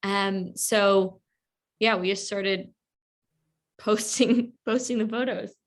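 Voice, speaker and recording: A woman in her twenties chats as a podcast guest. She speaks at a middle pitch and peppers her answers with fillers like "like". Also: steady tone, slow. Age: 20s. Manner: chatty, with lots of fillers like "like". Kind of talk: casual interview chat.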